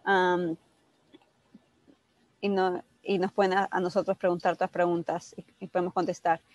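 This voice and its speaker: A woman doctor answering patients' questions on a video call, speaking haltingly with many restarts. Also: fairly low pitch, flat delivery, no room sound, low-quality recording. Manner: halting, many restarts, switches between English and Spanish. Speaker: doctor at a cystic fibrosis center.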